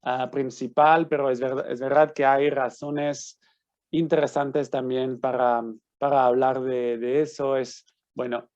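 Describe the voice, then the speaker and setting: A man's voice: medium pitch, flat delivery, unhurried, a little mellow. A Swiss man lecturing online as an invited lawyer, speaking a Spanish he learned in Argentina, hesitant with many restarts and fillers. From Switzerland. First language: not Spanish. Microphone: computer mic on video call. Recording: slightly dull lo-fi sound.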